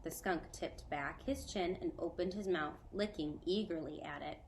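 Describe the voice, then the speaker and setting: A woman teacher reading a children's novel aloud to a student, clear, measured and gentle. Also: on the low side, slight background noise.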